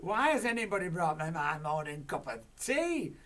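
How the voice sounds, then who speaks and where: A storyteller voicing a bossy, bad-tempered elderly grandmother who rants loudly and slowly. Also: medium pitch, sing-song, pitched above the performer's own voice. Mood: indignant shouting. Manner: loud, slow, indignant ranting. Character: bossy cranky elderly grandmother, shrill and demanding.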